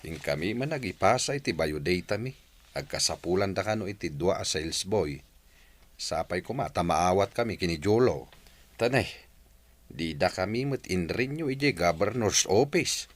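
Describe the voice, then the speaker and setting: Man acting a low-voiced cheerful ageing husband. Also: lively intonation, normal speed. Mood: hopeful. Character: cheerful ageing village husband, humble job-seeker. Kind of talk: Ilocano radio comedy drama acting. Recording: music under the voice.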